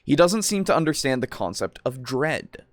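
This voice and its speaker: Man, medium pitch, animated intonation, dry sound, casual joking host. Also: moderate pace, no echo. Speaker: podcast host. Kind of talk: casual chat, reading out comments.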